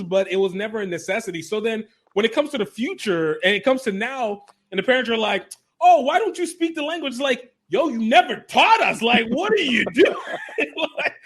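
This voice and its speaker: A man hosting a casual interview podcast. He chats in a lively, laughing way and acts out quoted voices with big jumps in pitch. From Nigerian family, raised in America. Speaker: podcast host.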